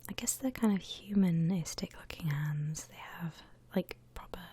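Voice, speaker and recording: A woman whispering gently and breathily as she guides listeners through a drawing, in the style of an ASMR tutorial. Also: on the low side, a little hiss or hum. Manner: gentle, unhurried step-by-step explaining. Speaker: host of an art ASMR channel.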